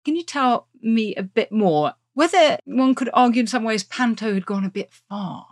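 A woman hosting a history podcast, summarising and putting questions to a guest in articulate, measured phrases. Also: a little high, lively intonation. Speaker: podcast host, history professor. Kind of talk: considered interview questioning.

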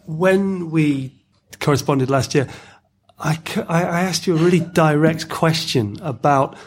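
A male interviewer with a slightly high, husky voice. He asks literary questions in a tentative, conversational way, often doubling back on his words as he frames them. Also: unhurried.

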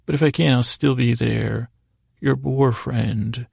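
Male podcast host, very gravelly fry, flat intonation, soothing, meandering bedtime monologue, halting, self-deprecating. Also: medium pitch, dry recording, clean studio sound. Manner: meandering, halting, frequently derailing his own sentences. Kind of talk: rambling bedtime monologue to help listeners fall asleep.

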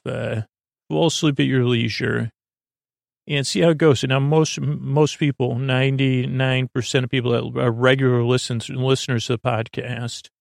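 A man hosting a sleep podcast, rambling in a halting, meandering, improvised monologue with a gravelly creak. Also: medium pitch, dry recording, studio quality. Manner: meandering, halting, repeats and trails off. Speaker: podcast host.

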